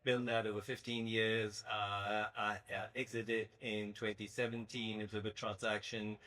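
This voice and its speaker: A man's voice: fairly low pitch, flat delivery, measured pace, bright, forceful tone. A man introducing himself on a panel with dry, self-deprecating humor. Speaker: panel judge, entrepreneur.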